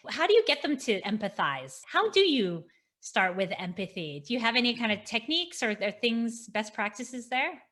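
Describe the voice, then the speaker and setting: A woman's voice: medium pitch, pushed and bright. A middle-aged woman hosting an interview show over a video call, chatting in an enthusiastic, affirming way about work. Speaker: podcast host interviewing a guest. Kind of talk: interview, casual professional chat. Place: small indoor office, video call. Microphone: desk microphone close in front.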